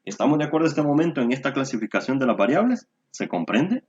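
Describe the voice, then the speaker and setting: A man's voice: medium pitch, big pitch swings, fast talker, a little mellow, slightly rough, dull audio. A man tutoring a statistics class online, explaining patiently and checking in with "¿verdad?". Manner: explanatory, often tags "¿verdad?".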